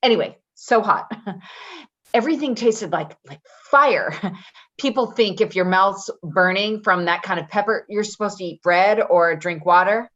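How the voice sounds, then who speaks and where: An actress playing a brash, chatty, defensive caregiver in a naturalistic play reading, rambling and halting as she goes. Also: medium pitch, a bit bright, muffled recording. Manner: rambling, halting, with nervous laughter. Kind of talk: naturalistic readers theater acting.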